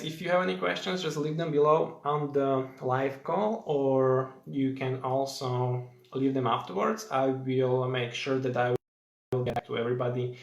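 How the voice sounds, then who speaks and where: A young man with a Slovak accent talks loosely through a live stream, using plenty of fillers and breaking off to greet viewers. His voice sits at an ordinary middle pitch. The room around him sounds roomy and echoey. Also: gravelly.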